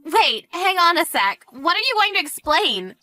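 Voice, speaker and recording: A woman voicing a spiteful, greedy sister-in-law with a shrill, whiny manner in a narrated family drama. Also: sing-song, moderate pace, bright, forceful tone, no echo, soft background music, exaggerated voice. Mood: indignant, alarmed. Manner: shrill, indignant, pleading.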